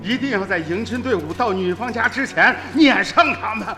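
An insistent middle-aged village father with a high, forceful voice. He gives orders in agitated exclamations, radio-drama style, in a long reverb with music behind. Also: expressive, moderate pace, exaggerated voice. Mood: urgent. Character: urgent, principled village father and uncle, commanding and agitated.

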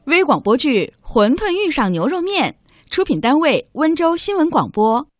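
A woman's voice: sits high, very animated, normal speed, no room sound, soft background music. A woman reading a scripted title announcement as a radio announcer.

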